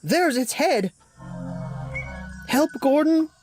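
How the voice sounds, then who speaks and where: A proud but jumpy little engine from a children's storybook, acted out by a man. The voice is boyish and pushed high, with lively swings as it frets and yelps. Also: drawn-out words, faint music behind, full character voice. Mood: scared. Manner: flustered, stammering, yelping.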